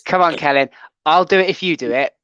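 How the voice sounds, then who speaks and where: A man's voice: fairly high pitch, fairly quick, a bit bright, clear, resonant tone, no echo, highs cut off. A man hosting a casual livestream chat show, talking with many ums and restarts. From Wales. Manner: hesitant, full of ums and restarts.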